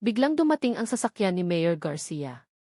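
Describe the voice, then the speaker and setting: A woman's voice: on the low side, very animated, normal speed, mellow, dry recording, clean studio sound. A woman reading a scripted first-person dramatic story as narrator.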